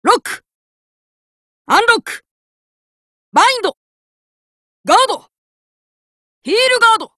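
A woman voicing a brash, cocky young male card fighter who boasts and shouts battle chants, recorded as game voice lines. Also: extremely high pitch, sing-song, measured pace, pauses often, no echo, heavily acted. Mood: fired up. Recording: dry studio recording.

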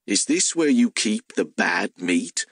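A man's voice: on the low side, big pitch swings, takes it slowly, fairly bright tone, dry recording, clean studio sound, exaggerated voice. A man voicing a simple, literal-minded, food-loving talking hellhound in an audiobook, with childlike phrasing. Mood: earnest, simple.

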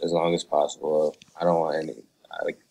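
Low, monotone man chatting haltingly. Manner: halting, slangy. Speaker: podcast guest, music artist. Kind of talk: casual chat.

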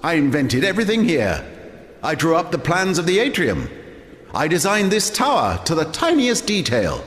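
An unhinged, proud old genius in a fantasy game, rambling theatrically in a fairly high voice. The pitch swoops wildly, and long hall reverb trails each word. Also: male voice, wildly swooping pitch, moderate pace, fairly bright tone. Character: eccentric, forgetful old male genius architect, proud and unhinged. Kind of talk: dramatic video game acting.

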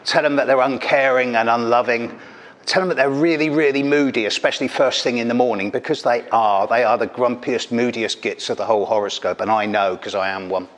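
A man's voice: medium pitch, brisk. A middle-aged man from England performing a caustic, teasing stand-up routine on a stage, speaking into a handheld mic. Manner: caustic, teasing, punchy delivery. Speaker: stand-up astrologer. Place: stage of an event hall.

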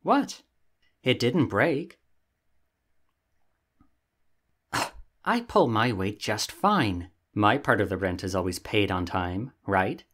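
A sassy young catboy played by a man with a fairly low voice. He is lazy and vain, talking casually and huffily, like a roommate in an ASMR roleplay. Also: big pitch swings, slow, fairly bright tone, full character voice. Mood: indignant. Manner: sassy, casual, theatrically huffy.

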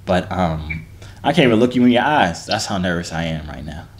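A man's voice: deep voice, lively intonation, brisk. Teasing young man on a phone video chat.

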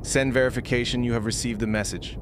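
Man, medium pitch, scripted instructional narration, measured delivery, noticeable humming background noise. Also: steady tone. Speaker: tutorial narrator.

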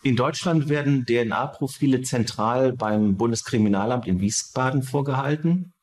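Male criminology expert, average pitch, formal and measured explanatory delivery. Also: a little mellow.

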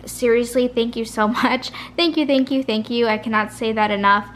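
Tired, soft-spoken woman vlogger, rambling. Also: a little high, moderate pace, a little hiss or hum. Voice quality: soft, a little raspy. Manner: rambling, chatty. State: tired, late at night. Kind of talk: casual chat. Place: kitchen at home. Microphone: camera at a kitchen table.

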